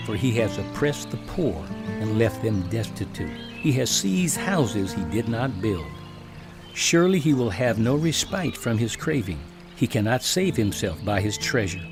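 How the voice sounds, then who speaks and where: A severe biblical accuser, indignant and declamatory. His mid-pitched voice delivers grim judgment in the manner of a scripture drama, with music clearly playing behind him. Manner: declamatory, forceful rhetoric. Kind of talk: dramatized audio Bible acting.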